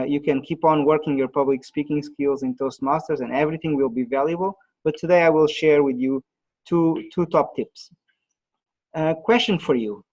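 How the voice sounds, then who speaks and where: A man presenting an online webinar on pitching, pausing often with fillers and restarts, heard over a video call. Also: darkish tone, rough recording. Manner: halting, many fillers and restarts.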